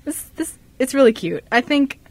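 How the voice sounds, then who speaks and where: A woman's voice: high-pitched, expressive, normal speed, very noisy. A young woman co-hosting a live radio show with casual, enthusiastic chat. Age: young adult. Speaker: radio show co-host and scriptwriter. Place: radio studio.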